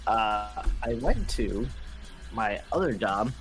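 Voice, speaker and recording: Lower-pitched man chatting casually. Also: animated, slow pace. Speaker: game artist co-hosting a live stream. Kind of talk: casual chat. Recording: music under the voice. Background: background music.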